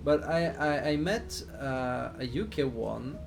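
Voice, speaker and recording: Slow-talking man with a Swiss accent. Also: mid-range voice, animated, creaky, over music. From Switzerland. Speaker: flight simulator streamer. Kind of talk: casual live stream chat while playing.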